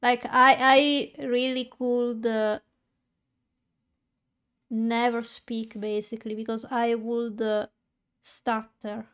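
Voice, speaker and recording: Stuttering young woman chatting slowly. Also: on the high side, flat delivery, a bit bright, clear, resonant tone. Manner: stutters, many fillers and restarts. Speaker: VTuber streamer. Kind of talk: casual live-stream chat while coloring.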